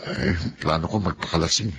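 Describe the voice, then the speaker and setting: An actor playing a frustrated, blunt husband of thirty in a Filipino radio drama. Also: male voice, low-pitched, fairly quick, creaky, fairly bright tone, slight background noise. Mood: dejected, confiding. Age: 30s.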